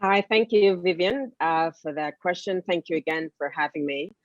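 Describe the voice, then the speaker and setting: A woman panelist telling her personal story, hesitant and full of pauses. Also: on the low side, no echo. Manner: hesitant, many fillers. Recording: dull lo-fi audio.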